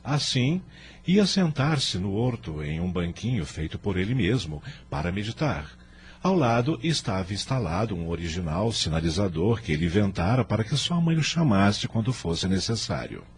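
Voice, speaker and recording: A man's voice: fairly low pitch, big pitch swings, normal speed, a little hiss or hum. A man with a Brazilian accent giving solemn, literary narration for a radio drama. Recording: music bed under narration.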